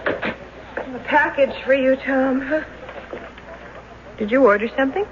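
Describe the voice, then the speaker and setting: Plain-spoken, protective wife, muffled sound. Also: female voice, high voice, takes it slowly. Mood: puzzled. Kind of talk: radio drama acting. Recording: dull hissy old radio recording.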